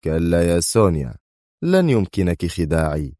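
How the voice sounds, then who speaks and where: A man's voice: low-pitched, very animated, moderate pace, no room sound, studio quality. Man playing a tense, sneering male spy. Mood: angry, accusing. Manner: mocking, tense, forceful. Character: tense, sneering male spy rival, hot-tempered accuser.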